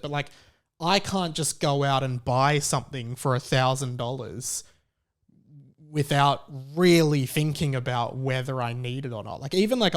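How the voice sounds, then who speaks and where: A man giving a casual opinion monologue like a podcast host, conversational and halting, with emphatic stresses on key words. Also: mid-range voice.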